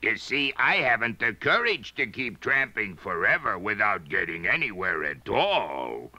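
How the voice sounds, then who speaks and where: A man voicing a timid, tired lion, speaking in a low, sagging way. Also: big pitch swings, a little slow, sharp tone, no room sound, full character voice. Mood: weary.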